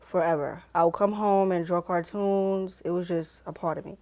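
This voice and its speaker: A woman's voice: lowish voice, steady tone, normal speed, darkish tone. A young woman from the Bronx, a laid-back, slangy artist answering interview questions. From the Bronx, New York. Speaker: artist, guest.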